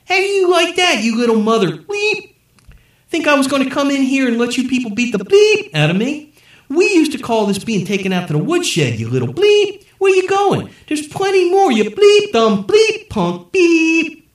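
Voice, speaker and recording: A man voicing a blustering, unhinged male president who swears through a shouting tirade. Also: very high voice, huge pitch swings, normal speed, echoey room, voice pushed up, heavily acted. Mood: raging.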